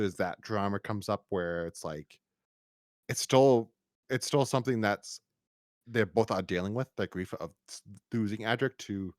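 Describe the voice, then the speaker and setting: Rambling podcast man with swooping pitch. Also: no echo, clean studio sound. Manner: rambling, halting with repeated false starts. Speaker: podcast host. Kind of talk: casual review chat.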